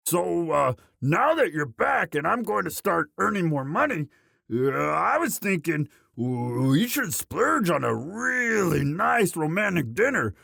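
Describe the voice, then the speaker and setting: A man voicing a bashful, devoted himbo orc boyfriend in a romantic audio roleplay, halting and stammering sheepishly. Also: a little high, very animated, gravelly, pushed and bright, no echo, clean studio sound, heavily acted. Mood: sheepish, eager.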